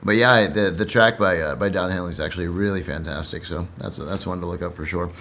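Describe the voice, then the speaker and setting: Low-voiced man talking poetry, noisy recording. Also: brisk, fairly bright tone. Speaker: poetry reader and commentator. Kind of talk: casual commentary and recited rhyming poetry.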